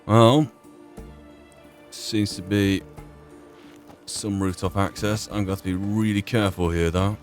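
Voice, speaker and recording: A man's voice: on the low side, steady tone, unhurried, long pauses. A man giving casual, understated commentary on his video game playthrough, thinking aloud as he plays. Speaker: gamer, playthrough host. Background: game music.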